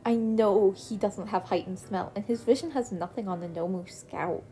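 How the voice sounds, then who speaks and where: A woman's voice: medium pitch, moderate pace, warm tone, faint music behind, lightly acted. Viridian, a woman reading as a cocky young vigilante. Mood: breezy. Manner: breezy, self-assured. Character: cocky young man vigilante turned hero.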